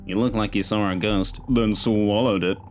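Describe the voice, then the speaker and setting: A man's voice: lowish voice, steady tone, moderate pace, soft background music, lightly acted. A man voicing a geeky, jaunty male tech expert with a playful, mock-grand manner and an odd put-on accent, in an audiobook.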